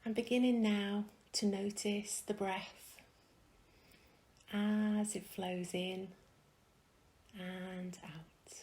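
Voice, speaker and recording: Female meditation guide: very slow, gentle and hushed, some creak in the voice, faint noise behind. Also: mid-range voice, level tone. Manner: soft, soothing, gentle delivery with long pauses.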